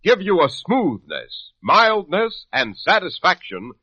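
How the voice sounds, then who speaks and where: A man's voice: high voice, huge pitch swings, slow, sharp tone, slightly rough. A man reading 1950s radio advertising slogans as an emphatic announcer. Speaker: commercial announcer. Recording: dull old radio recording.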